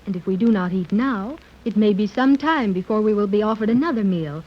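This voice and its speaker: A woman's voice: medium pitch, animated, a little fast, close to an everyday voice. An actress in a 1930s radio serial playing a stiff, formal young woman submarine commander. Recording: muffled old radio recording.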